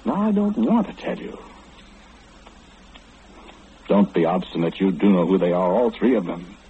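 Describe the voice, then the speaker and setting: A man's voice: deep voice, wildly swooping pitch, a little fast. An actor in an old-time radio horror play, playing an omniscient, ominous man who confides insistently and questioningly in the listener. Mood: ominous, confiding. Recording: muffled, hissy old radio recording.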